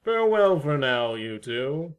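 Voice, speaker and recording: A man's voice: on the high side, big pitch swings, measured pace, smooth. A man playing a courteous, mysterious tenant in a fan audio drama, stiffly formal and wordy.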